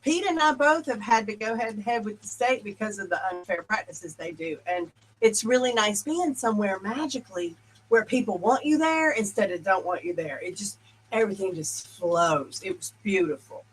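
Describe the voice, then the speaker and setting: A woman's voice: mid-range voice, moderate pace, a little hiss or hum, low-quality recording. A woman guest on a podcast, telling stories in casual interview chat over a video call. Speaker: podcast guest, church leader. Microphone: remote video call.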